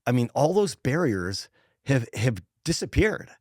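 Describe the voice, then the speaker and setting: A man's voice: medium pitch, big pitch swings, unhurried, darkish tone, no echo, studio quality. A man in his sixties, a guest author in a casual podcast interview, talking thoughtfully and rambling with many restarts. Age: 60s.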